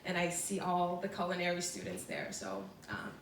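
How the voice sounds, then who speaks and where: A young woman giving a casual, earnest talk, chatty and quick to laugh. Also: on the low side, big pitch swings, slight background noise. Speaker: food truck owner speaker. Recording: roomy, distant from the mic, faint background ambience.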